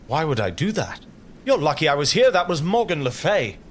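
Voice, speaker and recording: An actor playing an earnest, virtuous knight with a tormented sense of honor in an audio drama. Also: male voice, fairly high pitch, fairly quick, slight background noise. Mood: brisk, concerned. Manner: earnest, solemn, expressive.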